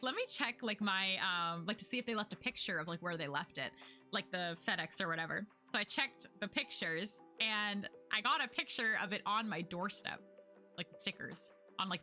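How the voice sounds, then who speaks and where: A young woman streamer chatting casually to her viewers, full of "like" fillers and quick laughs, her voice getting worn out. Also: mid-range voice, lively intonation, bright, forceful tone, faint music behind. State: losing her voice. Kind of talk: casual chat with live chat.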